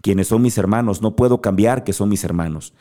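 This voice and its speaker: A man's voice: fairly low pitch, a little fast, mellow. A Mexican man hosting a podcast, giving an earnest motivational monologue straight to the listener. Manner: earnest, speaks directly to the listener. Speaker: podcast host.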